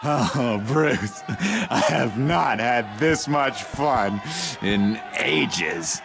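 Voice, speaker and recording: Slow, creaky, gleefully deranged inmate. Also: male voice, mid-range voice, expressive, over music, a crowd in the background, exaggerated voice. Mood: gleeful, manic. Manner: drawn-out, giggly, theatrical. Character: gleefully deranged, theatrical asylum inmate, sinister and obsessive. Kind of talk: video game dramatic dialogue.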